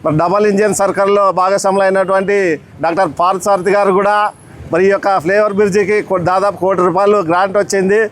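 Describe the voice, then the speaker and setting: Male activist leader, high pitch, little variation, formal press address. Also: flat delivery, fast. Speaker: activist leader introducing speakers at a protest.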